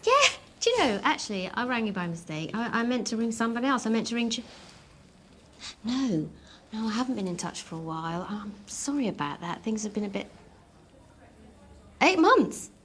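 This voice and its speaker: A woman's voice: on the high side, very animated, moderate pace, pauses often, slight background noise. An actress playing a flustered mother who stammers and trips over her words, with a sarcastic edge. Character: flustered middle-aged mum juggling calls. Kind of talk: sitcom acting.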